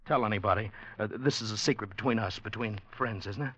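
A man's voice: lowish voice, brisk, a bit bright, slightly rough, no echo. An actor playing an anxious lovelorn man in a radio drama, earnest, stammering and pleading, in 1940s radio style. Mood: nervous. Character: anxious lovelorn man trapped among sinister secret dwellers. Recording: dull vintage radio recording with music.

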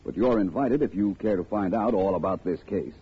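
A man's voice: deep voice, a little fast, lightly acted. An American man playing a confident, wry private detective in urbane, precise 1940s radio style. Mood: assured. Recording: tinny, faintly noisy old radio recording.

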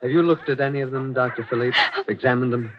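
A man's voice: medium pitch, fairly even intonation, fast talker, noisy background, natural voice. An actor playing an earnest, impulsive young man who narrates and acts in a 1940s American radio mystery with intense, emphatic drama. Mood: insistent. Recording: muffled 1940s radio recording with music.

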